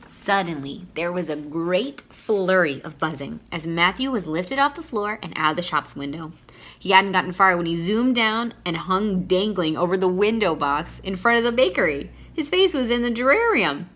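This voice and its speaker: Bright-voiced woman reading a children's story. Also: fairly low pitch, normal speed. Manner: animated, teacherly, addressing young listeners. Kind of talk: expressive children's read-aloud.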